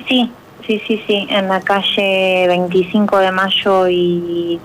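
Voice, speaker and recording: A middle-aged woman with an Argentine accent, speaking haltingly as a guest in a radio interview, searching for words. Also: medium pitch, level tone, poor audio. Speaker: interview guest, pilates instructor. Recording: faint background noise.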